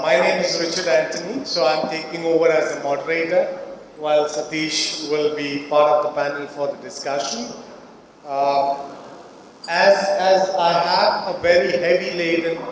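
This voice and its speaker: A man moderating a panel opens the session, stumbling over frequent "uh" fillers. He sounds set back from the mic, with a slightly higher voice and heavy hall echo. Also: steady tone, a little slow. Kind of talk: opening remarks. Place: large conference hall. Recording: distant, very echoey hall with faint noise.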